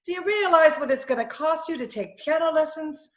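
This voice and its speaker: A woman's voice: sits high, a little fast, fairly bright tone. A woman playing a wry, sensible wife who needles her husband in an old-time radio comedy, on a dull, roomy call recording. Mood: teasing.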